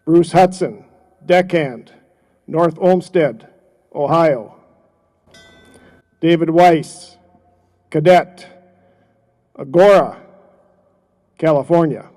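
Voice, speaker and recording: Slow, solemn man reading names. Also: fairly high pitch, mellow. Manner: slow, measured and deliberate. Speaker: reader of names at a memorial ceremony. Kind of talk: solemn reading of a roll call.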